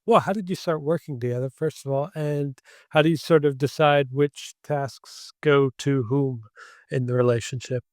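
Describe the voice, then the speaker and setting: A man's voice: mid-range voice, moderate pace, dry recording, clean studio sound. A man hosting an interview who asks questions in a casual, conversational way. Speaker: interview host.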